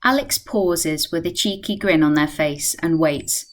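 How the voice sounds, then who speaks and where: A woman's voice: low voice, expressive, a little slow. A woman playing the composed narrator of a radio play, reading scripted present-tense storytelling. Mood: measured.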